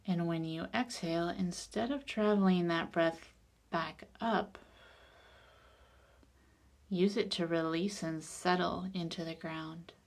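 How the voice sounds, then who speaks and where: A woman leading a guided meditation in a calm, soothing, unhurried way, her soft voice turning breathy at the ends of phrases. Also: fairly low pitch.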